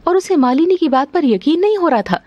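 A woman's voice: sits high, expressive, fairly quick, lo-fi sound, background noise. A woman narrator reading a scripted story.

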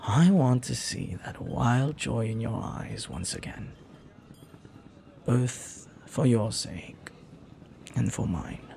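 A man playing a flirty, lovelorn pirate captain in a romantic audio roleplay, speaking softly and earnestly with hesitant, lingering pauses. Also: medium pitch, expressive, gravelly, slight background noise. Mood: earnest, longing.

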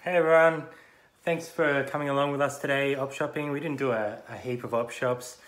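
A man in his thirties with an Australian accent, casually talking through his thrift finds for a vlog, relaxed and full of ums. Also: mid-range voice, a little fast, roomy sound. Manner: relaxed, many ums, chatty. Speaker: vlogger and reseller. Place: car, then a small living room. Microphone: phone camera at arm's length.

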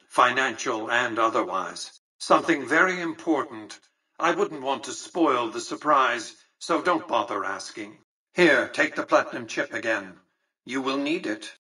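An actor playing an imperious, calculating old tycoon in a video game, speaking with an American accent in a pompous, precise, condescending manner. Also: male voice, mid-range voice, bright, forceful tone, husky, far from the mic, heavily acted, low-quality recording. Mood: self-assured. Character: imperious, calculating aged male tycoon autocrat. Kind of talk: scripted video game dialogue.

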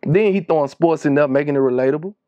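A man's voice: on the high side, quick pace, dry recording, dull audio. A man in his thirties with a Louisiana Southern accent, chatting casually and telling stories in slangy talk as an interview guest. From Shreveport, Louisiana, United States. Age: 30s. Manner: slangy, conversational. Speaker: music producer, interview guest. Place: small wood-panelled recording studio.

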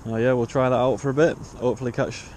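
A man's voice: medium pitch, little pitch movement, quick pace, a little mellow, a little hiss or hum. A young man with an English accent chatting casually and in slang as he fishes outdoors, picked up on an action camera. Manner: informal, slangy. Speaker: angler hosting a fishing vlog. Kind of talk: casual chat while fishing. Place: outdoors on a riverbank. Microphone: body-worn action camera.

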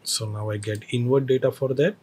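A man teaching a screen-recorded software tutorial, explaining each step with hesitant phrasing and fillers. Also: mid-range voice, steady tone, brisk, a little hiss or hum.

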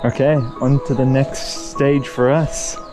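An excitable man talks into a handheld camera on a forested mountain trail, mixing English with bits of Japanese and swearing casually. His voice sits at an everyday middle pitch. Music plays clearly under the outdoor sound. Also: measured pace. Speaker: vlog host. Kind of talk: casual travel vlog chat.

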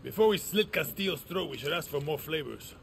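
An actor playing a wry older guerrilla with an offhand, sardonic way of talking. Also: male voice, on the high side, animated, fairly quick, creaky, slight background noise. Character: wry, grizzled older male guerrilla spymaster.

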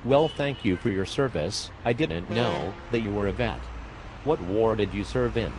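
A man voicing a tired, sarcastic male fire medic in an amateur animated comedy, speaking dryly and with exasperation. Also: fairly low pitch, moderate pace, noisy background, lightly acted.

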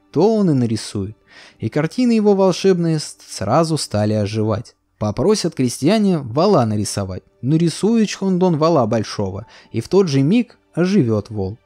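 Expressive male storyteller, lively. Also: fairly high pitch. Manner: lively, expressive fairy-tale reading. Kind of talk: storybook narration. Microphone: voice-over. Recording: soft music behind.

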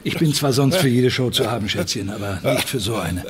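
An actor playing a flippant show entertainer caught in a crisis in an audio crime drama. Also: male voice, mid-range voice, lively intonation, a little fast, slight background noise, lightly acted. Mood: wry.

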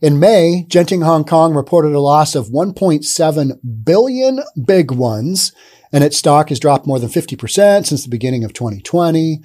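A man in his thirties hosting a podcast, giving casual, sarcastic commentary on the news into a studio boom mic. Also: animated, normal speed, mellow. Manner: sarcastic, acts out imagined dialogue. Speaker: podcast host. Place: dim studio with brick wall and neon sign.

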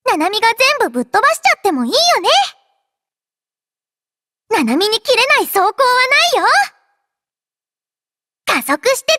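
An affectionate, mischievous little robot girl from an anime game. Her voice is extremely high and childlike, swinging widely in pitch. She sounds cutesy and teasing, recorded very dry. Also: sing-song, normal speed, pauses often, bright, forceful tone, no echo, exaggerated voice. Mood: energetic. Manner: cutesy, refers to herself in third person, teasing. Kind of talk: anime game character voice lines.

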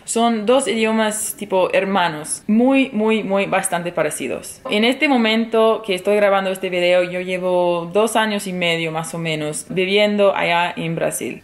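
American woman chatting in Spanish. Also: mid-range voice. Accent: American English. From United States. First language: English. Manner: chatty, repeats words for emphasis, laughs easily. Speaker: vlogger. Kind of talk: casual talk to camera. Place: living room, seated on a sofa.

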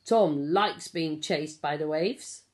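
A middle-aged woman reading a picture book aloud to young children, explaining and asking simple questions in an expressive way. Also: sits low, slow pace. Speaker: pre-school storyteller. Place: small living room at home. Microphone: phone or tablet at about arm's length.

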